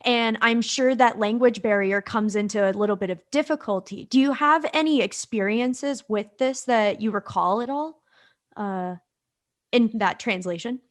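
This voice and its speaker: A woman's voice: fairly high pitch, moderate pace. A woman co-hosting a podcast, asking a guest questions in a friendly, upbeat way. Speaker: podcast co-host. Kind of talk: podcast intro and interview questions.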